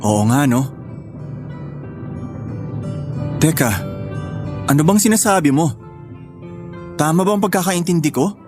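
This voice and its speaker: An actor playing a doting, teasing young boyfriend who is hopelessly smitten, in a Filipino radio drama. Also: male voice, on the high side, expressive, moderate pace, natural voice. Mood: surprised, elated. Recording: faint music under dialogue.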